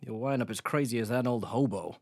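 A man's voice: medium pitch, a little fast, gravelly. An actor playing a breezy, skeptical young man in a radio drama, casual and flippant with a teasing edge. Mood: dismissive, teasing.

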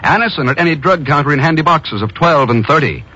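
Male radio announcer, American accent, higher-pitched voice, vintage 1950s delivery, formal and emphatic, muffled old recording. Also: expressive, fast talker, bright, forceful tone, slightly rough. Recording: dull vintage 1950s radio broadcast, music behind.

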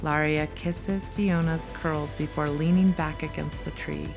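A woman narrating an audio drama in a measured, lyrical storytelling manner. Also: deep voice, steady tone, gravelly, music in the background.

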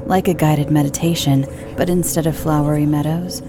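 A woman's voice: sits low, fairly even intonation, background noise. A woman reading a scripted horror podcast promo in a soothing yet eerie way. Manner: soothing, slow-burn eerie delivery. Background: music.

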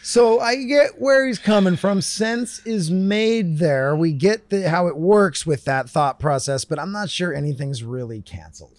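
A man co-hosting a casual talk show, joking and riffing in animated banter. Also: high-pitched, measured pace, hardly any pauses, no room sound. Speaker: podcast co-host.

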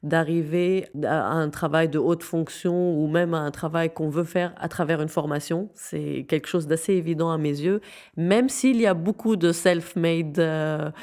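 The lowish-voiced woman guest on an interview show, earnestly pressing her points home. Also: steady tone, measured pace, darkish tone. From Syria. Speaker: interview guest, founder of a youth non-profit. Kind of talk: conversational interview.

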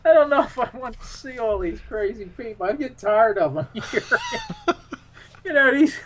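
A man's voice: extremely high pitch, animated, normal speed. A man speaking as an interview guest in casual, unscripted conversation, hesitant and often repeating words as he finds his point.

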